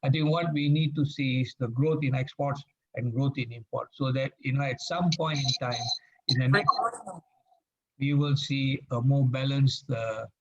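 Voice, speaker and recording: A man from Malaysia, giving a formal talk as a guest speaker at a meeting and pausing often with fillers. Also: mid-range voice, steady tone. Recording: dull lo-fi sound.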